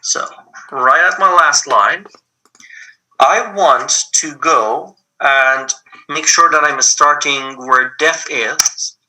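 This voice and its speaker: A man with a Persian accent teaches an online coding lab slowly and patiently. His voice is fairly high for a man and very bright, and he fills his pauses with ums. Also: poor audio. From Iran. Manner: patient, explains step by step with many ums. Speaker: lab instructor.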